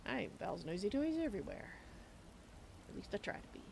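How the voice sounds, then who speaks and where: A woman's voice: mid-range voice, sing-song, brisk, creaky, darkish tone, slight background noise. A woman hosting a casual livestream, chatting in a rambling, self-correcting way. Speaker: streamer, host.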